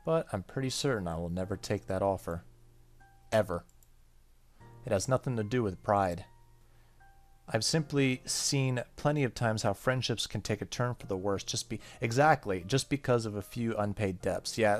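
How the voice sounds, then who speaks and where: Deep-voiced man doing a game let's play. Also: normal speed. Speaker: gaming YouTuber. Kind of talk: let's play, reading game text aloud with casual comments. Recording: faint game music behind voice.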